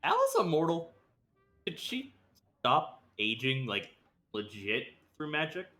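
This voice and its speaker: A man's voice: a little high, wildly swooping pitch, takes it slowly, sharp tone, soft background music. A man giving casual live commentary as he streams a video game.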